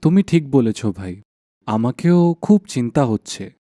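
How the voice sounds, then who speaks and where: A man playing an anxious, caring village husband in a dramatised animated horror folk tale, with earnest delivery. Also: medium pitch, a little slow, dry recording, studio quality. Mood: concerned.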